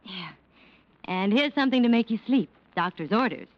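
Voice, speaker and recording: A woman playing a scheming, flirtatious wealthy wife in 1940s radio style, with a coaxing, expressive manner. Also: a little high, normal speed, slight background noise, close to an everyday voice. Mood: coaxing, sugary. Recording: dull old radio recording.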